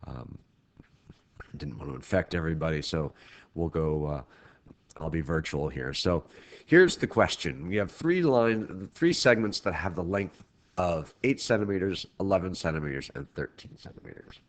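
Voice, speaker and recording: A man's voice: low voice, expressive, moderate pace. A man with a stuffy head cold giving a recorded math lecture in a casual, meandering way with frequent restarts. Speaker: teacher. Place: at home, recording remotely.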